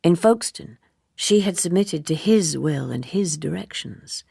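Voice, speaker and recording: A woman reading literary fiction as an audiobook narrator, in a measured, hushed and dramatic manner. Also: on the low side, lively intonation, creaky, breathy edge, dry recording, clean studio sound.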